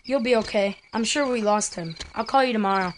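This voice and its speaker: A fairly high, easygoing boy's voice , performed by a woman, reassuring in tone. It is audio drama dialogue with faint noise behind it. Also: a little fast, close to an everyday voice. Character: easygoing, reassuring young boy.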